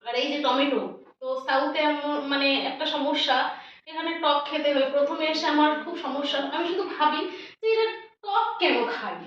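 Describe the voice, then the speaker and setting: A woman's voice: high-pitched, moderate pace, a bit bright. A woman with a West Bengal Bengali accent chats casually to her viewers as a lifestyle vlogger, showing her shopping. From West Bengal, India. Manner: chatty, addresses viewers as friends. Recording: roomy echo, distant mic, dull, faint noise.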